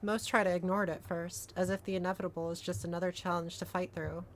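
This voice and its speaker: A woman's voice: on the low side, little pitch movement, fast talker, slight background noise. A woman hosting a casual gaming livestream, chatting and reading aloud from a visual novel. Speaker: streamer, vtuber host. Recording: music playing behind the voice.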